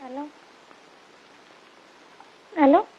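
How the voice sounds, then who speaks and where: High-voiced Marwari woman on a tinny phone call. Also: very slow. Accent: Marwari Rajasthani. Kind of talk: casual intimate phone chat. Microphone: phone line. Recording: tinny, narrow-band phone call recording.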